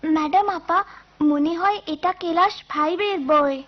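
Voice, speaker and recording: A woman's voice: very high voice, unhurried. An actress voicing a curious, earnest young village schoolgirl with a polite, childlike manner in a cartoon. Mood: timid, puzzled. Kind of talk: cartoon voice acting. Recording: muffled lo-fi cartoon soundtrack with music.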